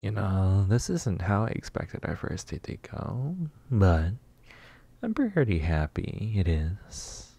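Man playing a husky, slow-talking flirty neighbor. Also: sits low, big pitch swings, warm tone, dry recording, exaggerated voice. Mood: tender, flirty. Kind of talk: intimate roleplay ASMR.

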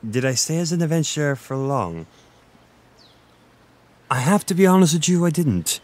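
A man playing a flirty, gentle Irish innkeeper in an intimate, conversational roleplay audio, with a teasing, self-deprecating manner. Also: lowish voice, lightly acted.

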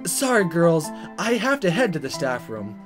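A man voicing a smug, charming young student teacher in a visual novel, self-satisfied and unhurried. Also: on the high side, very animated. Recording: guitar music behind.

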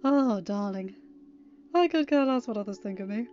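A woman voicing a devoted, protective male chaos creature from a story, with theatrical, drawn-out emphasis and a wounded streak. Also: mid-range voice, expressive, warm tone, natural voice. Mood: tender, upset. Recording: muffled lo-fi audio with music.

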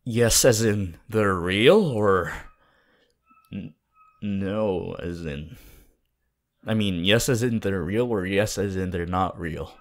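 A man playing a laid-back, teasing, sceptical teenage anime boy in an intimate, halting ASMR roleplay. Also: low voice. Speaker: voice actor.

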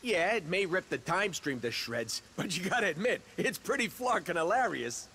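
An actor voicing a brash, sarcastic, cocky wisecracking troublemaker in a video game. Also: male voice, high voice, expressive, moderate pace, bright, forceful tone, slight background noise, full character voice. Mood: sardonic. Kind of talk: video game cutscene acting.

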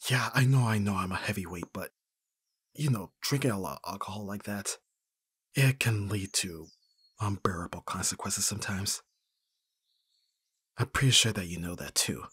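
A man acting a flustered young best friend in love in an intimate ASMR roleplay, husky and breathy, with a hesitant, stammering delivery. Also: lowish voice, normal speed, long pauses, a bit bright, dry recording, studio quality. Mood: weary, affectionate. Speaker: audio roleplay voice actor.

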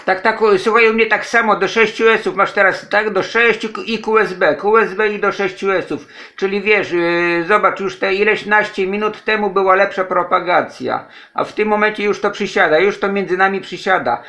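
A man with a high, forceful voice speaks as an amateur radio operator in a casual contact. He repeats phrases for the other station as he goes. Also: moderate pace. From Poland. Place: indoors, radio desk in a flat.

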